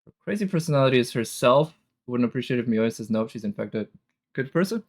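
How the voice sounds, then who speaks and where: A woman's voice: rumbling low, expressive, fast, a little mellow. A woman streamer giving casual reaction commentary, speculating and thinking aloud as the thoughts come. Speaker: streamer reacting to a game trailer. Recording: music behind the voice. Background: game trailer music.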